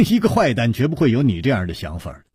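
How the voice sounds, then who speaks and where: Man as a calm, husky gentleman hero. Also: sits low, normal speed, no echo, studio quality. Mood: amused. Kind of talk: audiobook character voice.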